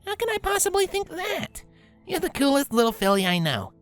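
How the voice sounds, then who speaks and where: Woman voicing a cocky, caring pegasus mare, lively. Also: high-pitched, fairly quick, soft background music. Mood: reassuring. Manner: casual, reassuring, a touch cocky. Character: confident, playfully cocky, caring adult female pegasus pony. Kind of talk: audio storybook dialogue.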